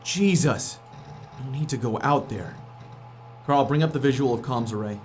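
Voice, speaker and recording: A man's voice: medium pitch, moderate pace, a little mellow, soft background music, close to an everyday voice. A man playing a hot-headed, sceptical astronaut technician in a science fiction audio drama, sardonic and informal. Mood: wary, arguing.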